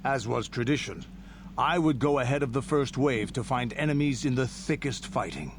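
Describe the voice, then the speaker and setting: An actor playing a grizzled old warrior in a video game, proudly and solemnly recounting battles in a gravelly voice. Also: male voice, medium pitch, lively intonation, moderate pace, natural voice. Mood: proud, reminiscing. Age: older. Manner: solemn, boastful, grand storytelling. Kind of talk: video game character monologue. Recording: game audio with background noise.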